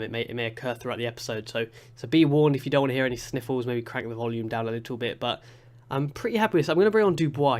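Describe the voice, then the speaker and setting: Congested young man commentating a game. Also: medium pitch. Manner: chatty, excitable play-by-play with many restarts. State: congested with a cold. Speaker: gaming YouTuber.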